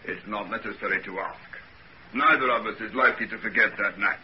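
A man acting a courteous, slightly hesitant professional gentleman in a 1940s radio drama. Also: fairly low pitch, a little fast, close to an everyday voice. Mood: hesitant, serious. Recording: dull, noisy old 1940s radio recording.

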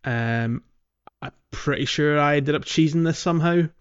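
A man's voice: a little high, moderate pace, smooth, muffled recording. A man hosting a podcast, casually explaining how a video game plays. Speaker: podcast host.

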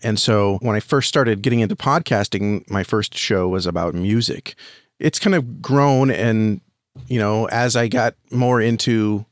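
A man speaking as a podcast guest, giving measured, thoughtful answers in a casual interview. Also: fairly low pitch, no echo, clean studio sound.

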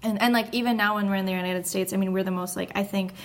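A woman speaking in a measured way during a casual group discussion, often murmuring agreement. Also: mid-range voice, fairly even intonation. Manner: measured, many affirming murmurs.

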